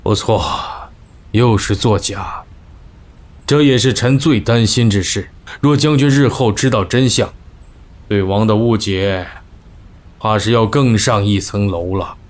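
Man acting a slow, low-voiced royal adviser. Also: natural voice. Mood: earnest, cautious. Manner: deliberate, formal, deferential. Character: earnest, scheming male court adviser to a king. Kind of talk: ancient-costume audio drama acting.

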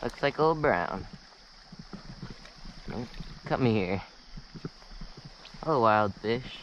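A man giving low-key running commentary to himself while fly fishing, recorded outdoors on a head-mounted action camera. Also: animated, lo-fi sound. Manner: low-key, short remarks to himself and the fish. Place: outdoors wading a small stream. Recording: action camera with loud stream noise. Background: rushing stream water.

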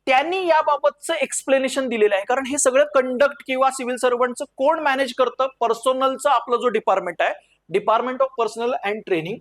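A male exam lecturer explains his material step by step. He mixes English terms into his speech. He leans on key phrases and quotations, slowing down to stress them. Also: very high-pitched, fairly bright tone. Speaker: civil services exam lecturer.

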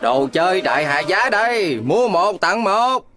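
High-ish cartoon animal street hawker. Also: male voice, big pitch swings, measured pace. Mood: cheerful hawking. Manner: calling out, sing-song hawking. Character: energetic animal toy seller hawking bargains. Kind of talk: children's cartoon voice acting.

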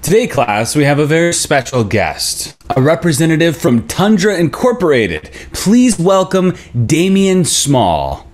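A man's voice: fairly high pitch, big pitch swings, measured pace. A man playing a schoolteacher addressing his class at a table read of a film script. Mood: welcoming. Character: male schoolteacher introducing a guest to his class.

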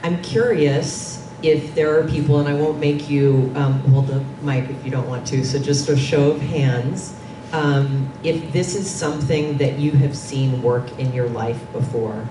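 Low-voiced woman presenting in an echoey room. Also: fairly even intonation, a little slow. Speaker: panel presenter. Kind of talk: informal conference presentation. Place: dim conference meeting room. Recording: distant, echoey, noisy room recording.

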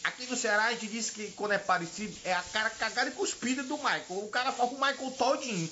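A man's voice: sits high, fast talker, background noise. A middle-aged man giving enthusiastic fan praise, heard in a dull, muffled phone clip.

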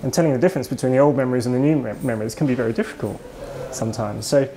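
A man's voice: medium pitch, rapid-fire, warm tone, a little hiss or hum, rough recording. British man explaining thoughtfully. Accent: British English. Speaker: author and psychologist. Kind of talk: interview answers. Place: kitchen shop, indoors.

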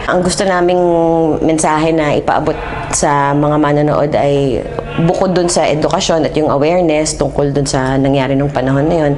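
A middle-aged Filipino-accented woman with a low voice gives a measured, explanatory interview. She switches between two languages, recorded indoors on a lapel mic with some background noise. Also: a little mellow. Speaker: interviewee, theatre festival organizer. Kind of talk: on-camera interview, explanatory talk. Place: indoor exhibit room.

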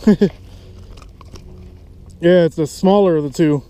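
A man's voice: on the high side, takes it slowly, warm tone. A man giving casual running commentary while fishing, muttering and cursing mildly at the fish, recorded outdoors on a head-mounted camera. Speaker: fishing vlogger. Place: outdoors on a creek and lake bank. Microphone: head-mounted action camera. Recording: outdoor wind and water noise.

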